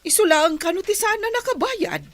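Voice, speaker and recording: A woman's voice: very high-pitched, big pitch swings, moderate pace, a bit bright, no echo, exaggerated voice. A woman playing an indignant, proud mother of a poor farming family in a radio drama. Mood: indignant, worried.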